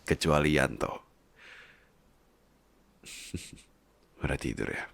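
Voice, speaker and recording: Deep-voiced man in soft ASMR roleplay. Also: very slow, creaky, no echo. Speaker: ASMR roleplay performer and narrator.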